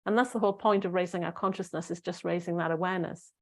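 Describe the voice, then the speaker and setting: A middle-aged woman hosting a spiritual talk show, musing and rambling with fillers and restarts, her voice slightly croaky. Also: fairly low pitch, fairly even intonation, quick pace. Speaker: spiritual podcast host. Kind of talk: casual solo talk to listeners.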